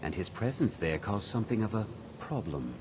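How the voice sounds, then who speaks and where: Fantasy game wizard, older man, measured and faintly disdainful, low and expressive, slight rumble behind. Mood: evasive, thoughtful. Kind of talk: video game dialogue.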